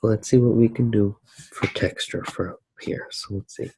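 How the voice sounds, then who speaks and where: A man narrating his drawing for ASMR in a soft-spoken, unhurried way, musing aloud as he works. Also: lowish voice, steady tone, creaky, breathy edge, no room sound. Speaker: ASMR artist.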